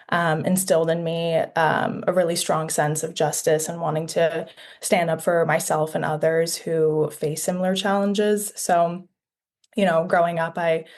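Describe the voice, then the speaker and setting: A young woman from Iran shares her story as a guest on a podcast. Her voice is low and fairly level, and she pauses and restarts often as she thinks each point through. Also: a little mellow. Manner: thoughtful, many "um"s and restarts. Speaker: podcast guest, human rights education fellow. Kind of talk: personal interview answer.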